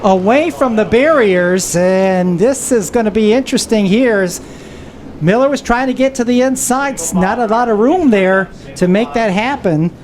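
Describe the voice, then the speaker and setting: A woman's voice: a little high, normal speed. A woman giving live stock car race commentary as a trackside announcer. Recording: loud vehicle noise behind. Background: race cars and trackside noise.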